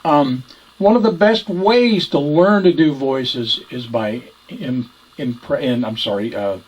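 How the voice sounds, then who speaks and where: A man teaching ventriloquism informally, explaining things in a halting, searching way. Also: medium pitch, husky, rough recording. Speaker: ventriloquism instructor. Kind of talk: informal instructional talk.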